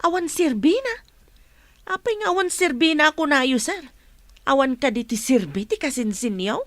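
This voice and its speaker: A woman's voice: high voice, expressive, moderate pace, no echo. A woman acting a chatty, outspoken household helper in a Filipino radio drama, with a forthright manner. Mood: indignant.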